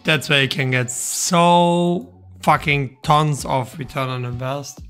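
A man's voice: fairly high pitch, steady tone, slow pace, a bit bright, clean tone, faint music behind. A German-speaking man streaming a game, chatting loosely with a friend and swearing now and then. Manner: loose, swearing, restarts often. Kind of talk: casual gaming stream chat.

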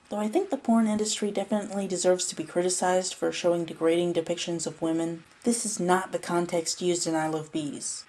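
A woman's voice: low voice, a little mellow. A woman giving scripted analytical narration in a formal, academic manner. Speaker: video essayist.

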